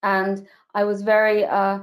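A woman's voice: medium pitch, completely flat delivery, measured pace. A woman actress born in Ireland and raised in Switzerland and Germany, chatting reflectively as a guest in a remote interview. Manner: chatty, reflective, self-correcting. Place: home in Northern Ireland.

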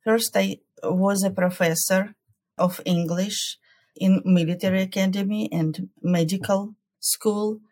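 Woman, unhurried pace, measured answers, hesitant pauses. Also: mid-range voice, steady tone, darkish tone. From Ukraine. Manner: careful, measured, with hesitant fillers. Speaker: guest culture coach. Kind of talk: interview answers.

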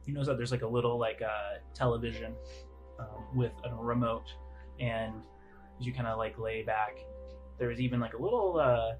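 A man acting as game master, describing scenes in a halting, conversational, improvised way. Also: mid-range voice, low-quality recording. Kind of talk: improvised tabletop game narration. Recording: music playing under the voice. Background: background music.

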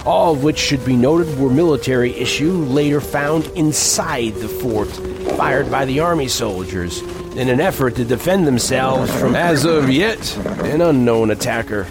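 A man playing an earnest reporter who narrates an eerie western expedition in a deliberate, descriptive way for an audio drama. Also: medium pitch, close to an everyday voice. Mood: earnest, foreboding. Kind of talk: first-person scripted audio drama narration. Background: music.

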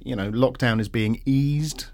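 A man's voice: medium pitch, unhurried, a little mellow. A man interviewing a young guest, chatting in a friendly, encouraging way. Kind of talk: casual interview.

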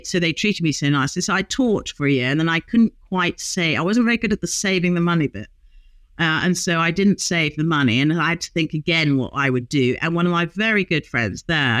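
A woman in her fifties, a guest lawyer telling humorous stories in a relaxed interview. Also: low voice, no echo. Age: 50s. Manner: lively, humorous storyteller. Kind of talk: casual interview chat.

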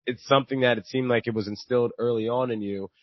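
An enthusiastic man hosting an interview podcast, sprinkling his questions with fillers. His voice is of average pitch for a man. The sound is dry and close. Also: fairly even intonation, fast talker, no room sound, muffled recording. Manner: upbeat, enthusiastic, filler-heavy. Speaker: podcast host.

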